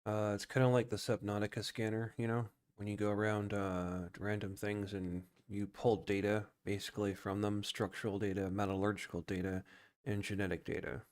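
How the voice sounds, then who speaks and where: A man's voice: on the low side, flat delivery, normal speed, a little mellow. A man giving casual live-stream commentary on a game, musing and thinking aloud.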